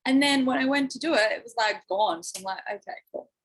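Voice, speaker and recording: A woman life coach chatting effusively on a livestream, her voice croaky and hoarse. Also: fairly high pitch, brisk, low-quality recording. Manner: bubbly, effusive, lots of "like", coughing. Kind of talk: casual livestream chat. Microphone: phone or laptop livestream.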